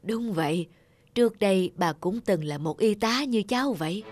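A woman voicing an elderly retired nurse in a cartoon, gentle, lonely and tender. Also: mid-range voice, lively intonation, moderate pace, natural voice. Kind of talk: animated moral tale dialogue. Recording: music behind the voice.

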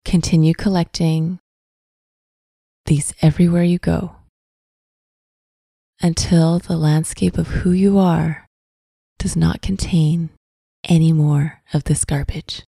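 A woman narrating a scripted guided visualization in a soft, breathy, soothing voice, with deliberate phrasing and long pauses. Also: deep voice, steady tone, no echo, studio quality. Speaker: guided meditation host.

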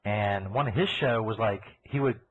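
Kentucky man, low husky voice, rambling profane radio host, tinny phone-like sound. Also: normal speed, slight background noise. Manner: profane, laughing, talks over himself. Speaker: host of a live internet radio show. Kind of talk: rambling casual radio chat. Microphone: computer mic over a call line. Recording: tinny phone-like internet broadcast.

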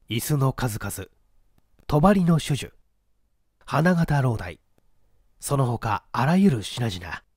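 A man narrating a novel in a measured, theatrical storytelling manner. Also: on the high side, a little mellow, no echo, studio quality. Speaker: audiobook narrator. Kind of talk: dramatic literary novel reading.

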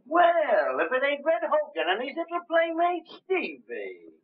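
A mocking cop, high and rough, with pitch that swings widely. He sounds distant on a tinny old film soundtrack. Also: huge pitch swings, unhurried, heavily acted. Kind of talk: 1930s film acting. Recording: tinny 1930s soundtrack, off mic.

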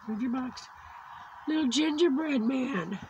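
A high-pitched woman whose voice is fading after surgery, so it comes out worn and thin. She talks slowly and chattily while she films her crafts from above at a tabletop. A faint hiss of noise sits under the recording. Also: darkish tone, muffled recording. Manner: slow, chatty, cooing over small crafts. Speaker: crafting channel host. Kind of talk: casual unboxing chat. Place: indoors at a tabletop craft setup.

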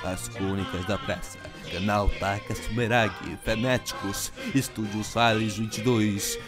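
A man's voice: on the low side, steady tone, a little slow, over loud music. A man with a Brazilian accent hosting a manga commentary in an informal, slangy, casual way. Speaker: host of a manga commentary show.

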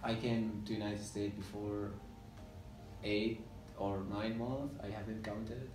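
A man's voice: lowish voice, level tone, takes it slowly, over music. A Syrian man in his twenties with an Arabic accent, speaking hesitant English with many fillers in a documentary interview, recorded in a library room. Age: 20s. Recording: roomy, distant sound with faint hiss.

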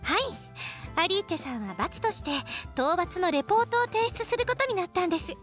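High-voiced, kindly magic teacher. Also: female voice, very animated, a little fast, soft background music, exaggerated voice. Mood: reassuring. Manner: polite, gently instructive. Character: kind, devoted young female magic teacher. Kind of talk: anime-style radio drama acting.

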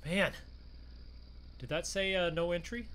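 Excitable man with a high, bright voice. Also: unhurried. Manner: excitable, exclamatory, bossy urging. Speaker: gaming video creator playing a truck roleplay. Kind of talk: improvised gaming roleplay banter. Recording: faint background noise.

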